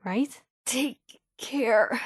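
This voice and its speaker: An actress playing a feisty, sarcastic young woman reporter in a video game, with a brash, conversational and expressive delivery. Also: on the high side, drawn-out words, slightly rough, no echo, clean studio sound, full character voice. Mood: wry, concerned. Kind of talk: video game companion voice lines.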